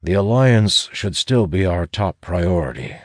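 A man voicing a reluctant, solitary ranger leader, grim and determined, with an earnest, measured delivery in a fantasy audiobook. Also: deep voice, lively intonation, no echo, voice pushed up. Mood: grim, resolute.

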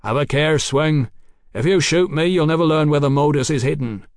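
A man's voice: fairly high pitch, expressive, moderate pace, no echo, studio quality, pitched above the performer's own voice. A man voicing a defiant, blustering adventurer in an audiobook, emphatic and combative. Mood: defiant, taunting. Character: Doctor Mallory, defiant blustering male adventurer under siege.

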